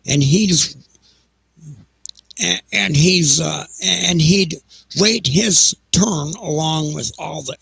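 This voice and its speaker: A man playing a peevish, defensive railroad executive in an audio drama, with whiny, halting, indignant delivery. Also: a little high, vocal fry, fairly bright tone, breathy edge, dry recording, voice pushed up, full character voice.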